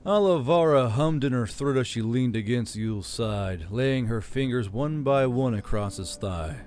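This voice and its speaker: A man narrating a fantasy novel in a measured, even storytelling manner. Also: mid-range voice. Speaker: audiobook narrator. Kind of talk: scripted fiction narration.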